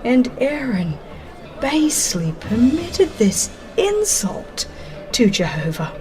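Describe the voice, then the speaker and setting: A woman reading a dramatized religious narration in a solemn, expressive, deliberate way. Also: a little high, some chatter behind. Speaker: audiobook narrator. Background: music.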